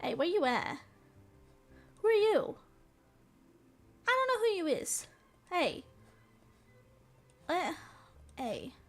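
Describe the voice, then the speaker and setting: A young woman with a Southern American accent and a high voice, streaming a game and chatting with her viewers. She giggles a lot and keeps the talk playful and loose. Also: sing-song, slow, pauses often, a bit bright. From Southern United States. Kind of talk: casual chat while gaming.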